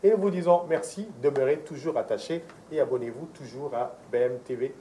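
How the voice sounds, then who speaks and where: A man's voice: medium pitch, fairly quick, warm tone, a little hiss or hum. A man with a Congolese French accent hosting a conversational television interview on a studio set. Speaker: TV interview host.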